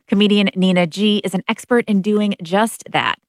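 A woman hosting a radio interview show, reading measured intros and asking questions. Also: medium pitch, a little mellow, no echo, studio quality.

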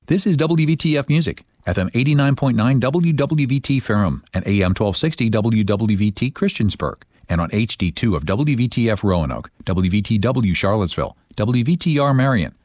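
A man making station announcements with a lively, bouncing pitch and an upbeat promotional push. His voice is mid-pitched and recorded dry and close in a studio. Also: dry recording. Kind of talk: station announcements and pledge drive. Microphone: studio mic.

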